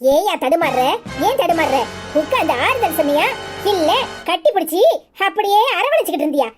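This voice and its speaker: Woman acting bossy village wife, high voice, scolding, taunting, southern Tamil Nadu accent, melodramatic serial. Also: very animated, a little fast, music in the background. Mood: furious, accusing. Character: sharp-tongued, suspicious village wife, bossy and accusing.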